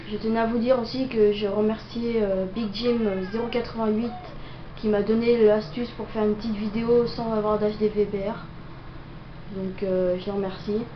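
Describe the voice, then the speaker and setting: Young woman with a cold, hesitant. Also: mid-range voice. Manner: hesitant, many fillers. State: has a cold, coughing. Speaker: gameplay video maker. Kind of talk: improvised gameplay commentary. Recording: roomy, dull lo-fi audio with noise.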